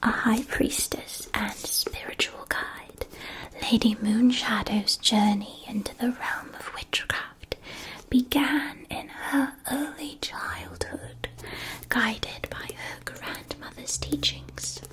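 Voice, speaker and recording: A woman playing a gentle witch school shopkeeper in a whispered ASMR roleplay, soothing and precise, with a theatrical storytelling manner. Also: on the high side, slow. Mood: gentle, mysterious.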